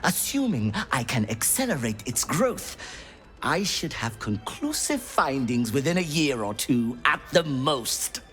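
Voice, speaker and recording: Brief: heartless game scientist; clipped, lecturing, swooping delivery. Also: male voice, mid-range voice, sing-song, unhurried, fairly bright tone, soft background music. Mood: clinical. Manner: precise, detached, lecturing. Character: cold Underdark scholar, callous and clinical. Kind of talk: video game voice acting.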